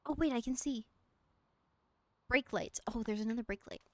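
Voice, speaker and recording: A woman's voice: fairly high pitch, normal speed, a little mellow. A young woman streaming a game from home, chatting casually in a rambling, giggly way and talking to objects in the game. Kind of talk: casual chat while gaming.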